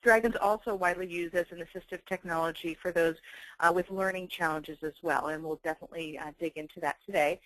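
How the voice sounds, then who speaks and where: A woman's voice: lowish voice, steady tone, quick pace, fairly bright tone, no room sound, rough recording. A woman giving a company webinar presentation, explaining things in a conversational way.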